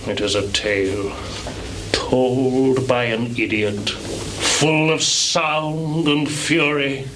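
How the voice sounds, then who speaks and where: An actor playing a weary, bitter, aging warrior king in a live Shakespearean stage recital, declaimed deliberately with heavy pauses. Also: medium pitch, steady tone. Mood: desolate. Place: small theatre stage, live performance. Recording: noisy 1980s live theatre recording.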